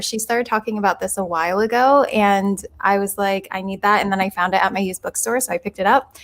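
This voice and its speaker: A woman chatting casually about books as a guest on a livestream video call. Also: mid-range voice. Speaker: book vlogger, livestream guest.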